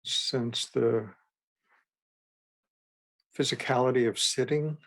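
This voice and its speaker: A man guiding a meditation as a teacher, with gentle, unhurried instruction and frequent pauses. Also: mid-range voice, steady tone. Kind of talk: guided meditation.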